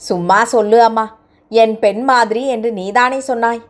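A woman voicing a kind, fatherly middle-aged man who speaks in a gentle, coaxing way. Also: a little high, moderate pace, natural voice.

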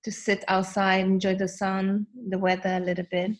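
A woman from Sweden, with Hakka as her first language, talks freely as a guest on a podcast. Her English has non-native grammar and many fillers. Her voice sits at an ordinary middle pitch. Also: monotone, moderate pace. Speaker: guest, lash artist. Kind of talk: podcast interview.

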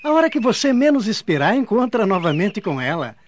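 An actor playing a breezy, excitable young man among his brothers in a radio drama. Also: sits high, fairly quick. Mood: excited, cheery. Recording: dull lo-fi, faint noise.

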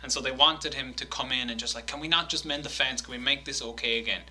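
A man in casual chat, reading long quotes aloud with animated delivery and laughing now and then. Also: medium pitch, fast talker, husky.

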